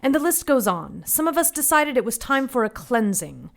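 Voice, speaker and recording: A zealous Mother Superior in an audio drama, with a somewhat high voice. She speaks with stern authority, grave and formal, pressing each point insistently. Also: sing-song, moderate pace, creaky, heavily acted.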